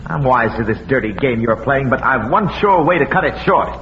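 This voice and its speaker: A man playing a resentful, hot-tempered young farmer's son in an old radio drama, forceful and accusing. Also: mid-range voice, lively intonation, a little fast, natural voice. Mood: threatening. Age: young adult. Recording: muffled, noisy vintage radio recording.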